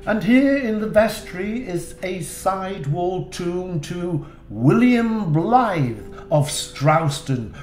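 A man hosting a church tour video, narrating in a slow, dry way with wry asides. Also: high voice, roomy sound.

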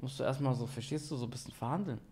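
A man's voice: medium pitch, rapid-fire. A man in his thirties streaming a reaction from a neon-lit room, joking in slangy chat. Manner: slangy and joking, bursts of loud exclamations. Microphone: in-ear headset, webcam.

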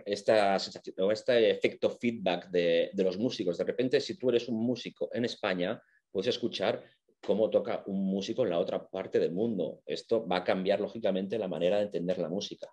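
A man with a fairly low voice and an accent from Spain gives an informal lecture. He explains things conversationally, with evident enthusiasm for his material. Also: normal speed, a little mellow.

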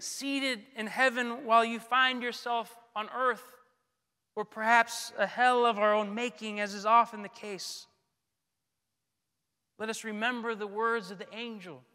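Male priest, thirties, bright high voice, solemn and deliberate sermon delivery into a pulpit mic. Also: clean tone. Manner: solemn, deliberate, with frequent pauses. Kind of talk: sermon, measured scripted delivery. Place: large church, indoors at a pulpit. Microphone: gooseneck pulpit microphone. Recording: long church reverb.

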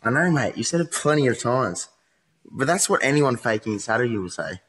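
A man's voice: medium pitch, big pitch swings, a little fast, fairly bright tone, husky. A man playing a hardened, skeptical police interrogator in a modern radio play, speaking bluntly and insistently.

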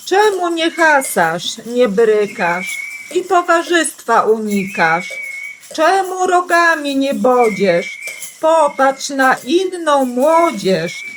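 A woman's voice: high voice, very animated, slow, noisy background, pitched above the performer's own voice, full character voice. A woman voicing a worried, reproachful mother cow, pleading plaintively in storybook rhyme. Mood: plaintive worry.

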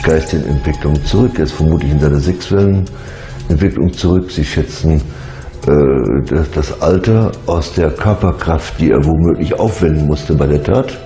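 A man's voice: a bass voice, moderate pace, mellow. A man speaking as an expert, explaining things unscripted in a documentary interview. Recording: roomy, echoing interview sound. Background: background music.

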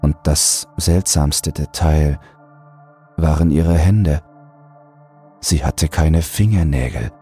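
A man narrating a scripted horror audiobook in a deliberate, brooding delivery. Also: rumbling low, dark tone, faint music behind.

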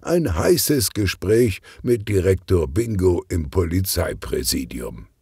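A man acts out a jovial older police detective from a children's audiobook. The voice is husky and lively, good-humoured and a little bumbling. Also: low-pitched, slow, no room sound, clean studio sound, heavily acted. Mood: cheerful.

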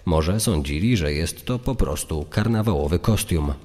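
A man's voice: deep voice, animated, moderate pace, darkish tone. A man reading scripted true-crime narration as a narrator. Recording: long hall reverb. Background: music.

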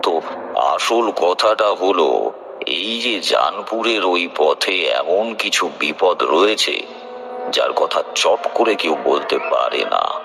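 A man playing a seasoned tantric telling a horror tale in an audio drama, grave and knowing, slow and deliberate with dramatic pauses. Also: low voice, big pitch swings, bright, forceful tone, faint music behind, heavily acted. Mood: grave, ominous. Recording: clearly noisy background ambience.

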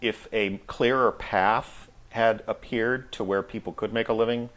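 A middle-aged American man reminiscing casually as a guest in an interview, speaking in a reflective, halting way. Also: lowish voice, fairly even intonation, fairly bright tone, poor audio. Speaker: guest, audio drama actor.

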